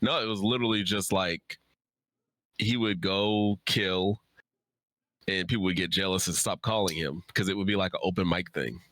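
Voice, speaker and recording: Low, bright-voiced man in casual chat. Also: fairly even intonation, moderate pace, dry recording, clean studio sound. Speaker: co-host of a live Q&A.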